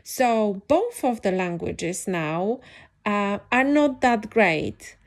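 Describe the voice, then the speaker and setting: A woman's voice: mid-range voice, sing-song, slow pace. A young woman hosting a podcast, speaking English as a second language in a reflective personal monologue, thoughtful and hesitant with many restarts. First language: not English. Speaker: podcast host.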